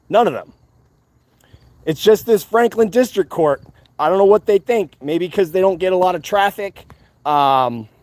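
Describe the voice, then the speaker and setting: A loud, defiant man rants to his viewers in a high-pitched voice. He swears freely and hammers his points home by repeating them. The sound is dry and close. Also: dry recording. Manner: profane. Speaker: activist channel host. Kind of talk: fired-up monologue to viewers.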